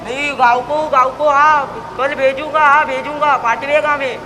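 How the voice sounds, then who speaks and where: A flustered rustic trader acted by a stage performer chatters in a high voice as though on a phone call. The speech is broken and mixed, played for comedy in live school theatre. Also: little pitch movement, moderate pace, over music, natural voice. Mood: busy.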